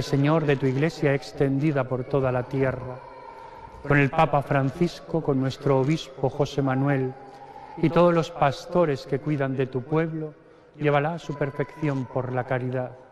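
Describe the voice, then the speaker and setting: A middle-aged man from Spain, a priest slowly and solemnly reciting the prayers of the Mass at the altar. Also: mid-range voice. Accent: Spanish (Spain). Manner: slow solemn liturgical recitation, parts chanted. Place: large stone cathedral. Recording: long cathedral reverb.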